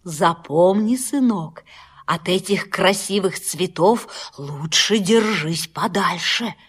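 A mother deer in a storybook audio play, speaking very slowly in a husky voice. She is gentle, patient and soothing, like a caring teacher of her young. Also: fairly low pitch, lively intonation, exaggerated voice. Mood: patient, cautioning. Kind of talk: children's audio fairy tale acting.

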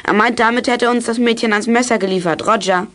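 A woman's voice: fairly high pitch, fairly even intonation, quick pace, noisy background, natural voice. A woman playing an eager child detective who leads a club in a youth radio play. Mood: brisk, businesslike.

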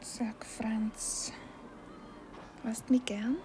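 High-voiced anxious young Viennese girl. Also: little pitch movement, extremely slow, dark tone, whispered. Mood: needy, pleading. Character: naive, anxious, clinging young working girl. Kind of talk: radio play acting in dialect.

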